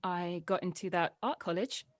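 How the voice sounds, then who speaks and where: A guarded young daughter played by a woman with a slightly low voice, recorded without any room echo. She is dry, blunt and sardonic, in the manner of a drama script read. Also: normal speed, lightly acted. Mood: guarded, bitter.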